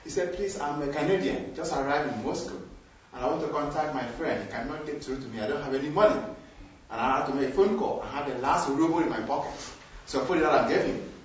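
An older man from Nigeria with a Nigerian accent, telling a personal story to a group in an unhurried way with small hesitations. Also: fairly high pitch, slight background noise. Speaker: group participant sharing a story. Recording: echoey, far from the mic, dull.

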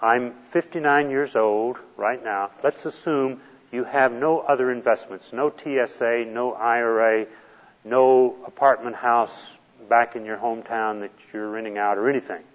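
A man's voice: mid-range voice, fairly even intonation, measured pace, big-room sound, lo-fi sound. A man teaching a retirement planning class, explaining step by step in a patient, plain way. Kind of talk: classroom lecture.

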